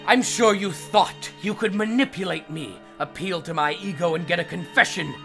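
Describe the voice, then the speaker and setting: Pompous male psychiatrist, played by a man, middle-aged, forceful and gravelly, grand diction, comedy fandub with music behind. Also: high voice, moderate pace, heavily acted. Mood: smug. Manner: haughty, theatrical, ornate diction. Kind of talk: comic fandub acting.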